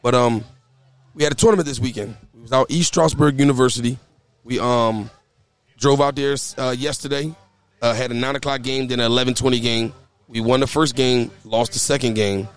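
A hoarse man hosting a casual talk show, often stammering and repeating his words as he speaks outdoors. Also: mid-range voice, fairly even intonation, fairly quick. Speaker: podcast host and youth basketball coach. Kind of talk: casual chat. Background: faint background music.

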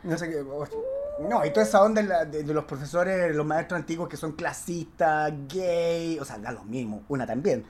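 A man with a Chilean accent, an actor guesting on a casual podcast, telling anecdotes in an expressive, slangy way and repeating words for emphasis. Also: fairly high pitch, normal speed, noisy background. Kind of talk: casual chat, personal anecdotes.

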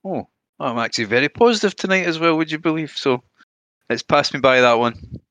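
A man's voice: on the high side, animated, brisk, a bit bright. A man co-hosting a gaming podcast, friendly and enthusiastic, with a noticeable accent he mentions himself. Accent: regional British or Irish. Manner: friendly, enthusiastic gamer talk. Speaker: podcast co-host. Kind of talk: casual podcast chat.

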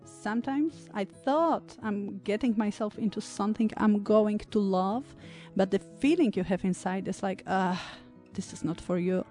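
A woman in her thirties from Poland, an English speaker, talking reflectively as an interview guest, with many pauses and fillers. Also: mid-range voice, dark tone, soft background music. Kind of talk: conversational interview.

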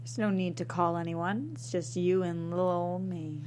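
A woman's voice: on the low side, fairly even intonation, moderate pace, darkish tone, a little hiss or hum, close to an everyday voice. A woman playing a scrappy, anxious but bold suburban wife in a comedy script table read. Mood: seductive.

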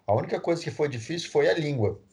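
A man with a Brazilian accent and a husky voice, casually recounting his own experience in conversation. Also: a little high, animated, quick pace, darkish tone. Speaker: panelist sharing experience. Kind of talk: casual chat, personal account.

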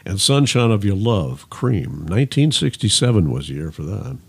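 A man's voice: on the low side, sing-song, moderate pace, vocal fry, breathy edge. An older man co-hosting a music show, chatting casually with wry, teasing asides and reminiscences. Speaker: radio show co-host, former disc jockey. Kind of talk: casual chat about old songs.

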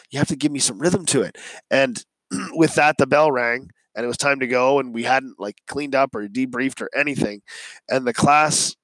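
A man's voice: mid-range voice, dry recording, low-quality recording. A male teacher casually recounting a classroom story, rambling with many fillers and restarts and quoting himself along the way.

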